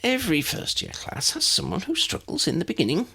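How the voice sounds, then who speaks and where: A man voicing a kindly, excitable little old wizard professor with a genteel, precise, avuncular manner. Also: high voice, brisk, gravelly, slightly rough, pitched above the performer's own voice, heavily acted. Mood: reassuring.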